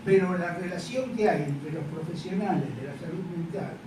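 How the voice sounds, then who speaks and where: Older Argentine male academic, halting lecture delivery, very reverberant distant recording with clear background noise. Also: sits high. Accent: Argentine Spanish. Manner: halting, repeats words, adds questioning check-ins. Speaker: professor and psychoanalyst lecturing. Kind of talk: conference lecture. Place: large echoey university lecture room. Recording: noisy, echoey hall, distant microphone.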